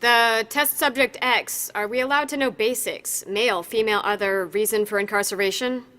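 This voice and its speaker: Young soldier, female, audio drama. Eager, questioning, with a sharp bright voice. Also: on the high side, moderate pace. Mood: inquiring.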